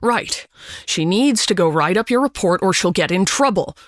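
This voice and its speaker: A man's voice: high voice, animated, moderate pace, a bit bright, no echo, clean studio sound. An actor playing an anxious, placating prison therapist in an audio drama, earnest and urgent in his explanations. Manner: urgent, earnest, soothing justifications.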